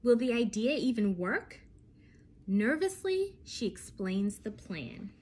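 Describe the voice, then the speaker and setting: A woman reading a picture book aloud to young children, telling it expressively with deliberate phrasing and dramatic pauses. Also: fairly high pitch, slight background noise.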